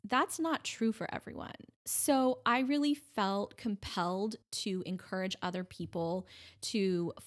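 A middle-aged woman speaking conversationally as an interview guest, telling her story in an animated way and often voicing quoted remarks. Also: mid-range voice. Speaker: podcast guest, breast cancer advocate.

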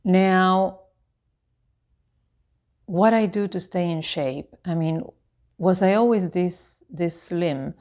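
Low-voiced, slow-talking woman near fifty. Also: a little mellow. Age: 49, about to turn 50. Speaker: lifestyle vlogger. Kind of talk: casual chat to camera. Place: living room with tiled floor, indoors. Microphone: clip-on lapel microphone.

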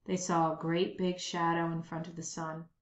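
A woman reading a children's story aloud with expressive, dramatic, emphatic phrasing. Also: fairly low pitch, normal speed. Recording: dull lo-fi, roomy echo.

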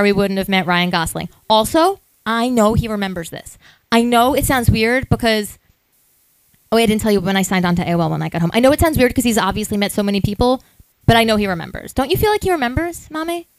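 A woman in her thirties with a fairly high voice, co-hosting a live podcast and telling a long story. She rambles excitedly from one aside to the next. Her voice is recorded close and dry. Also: no echo, poor audio. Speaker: podcast co-host.

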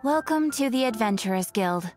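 A courteous female guild receptionist from a fantasy game, acted by a woman, speaks in a fairly high voice. She is composed, formal and helpful, with faint music behind. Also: animated, normal speed, a little mellow, natural voice. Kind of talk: English video game voice acting.

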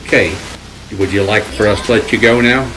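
A man's voice: fairly low pitch, a little fast. A man hosting a paranormal session, putting short, spaced-out, plain questions to spirits. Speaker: host of a paranormal investigation. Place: small domestic kitchen. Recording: loud hissing static behind voice. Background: hissing radio-sweep static noise.

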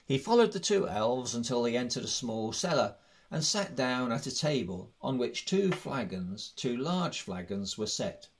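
A man's voice: medium pitch, very animated, a little slow. A man reading a fantasy story aloud as scripted narration. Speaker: audiobook reader.

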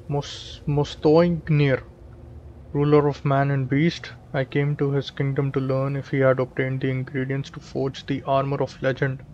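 Indian guy, native hindi speaker, casual gameplay commentary, pretty monotone, mid pitch, bit of game noise. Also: highs cut off. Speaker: gaming video host.

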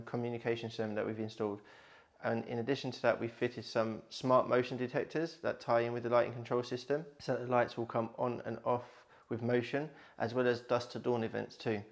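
A man in his thirties explaining an installation in a matter-of-fact, instructional way, like a tradesman presenting a walkthrough. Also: mid-range voice. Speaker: smart home installer presenting his company's work.

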